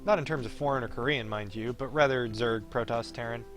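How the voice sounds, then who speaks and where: A man's voice: medium pitch, a little fast, fairly bright tone. Man reading a visual novel on stream. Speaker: game streamer. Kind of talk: reading a visual novel aloud on a live stream with casual chat. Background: game music.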